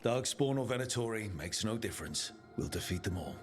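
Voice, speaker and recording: An actor playing a grim, steady male warden warrior in a video game. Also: fairly low pitch, fairly quick, natural voice. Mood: resolute. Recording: loud game music behind.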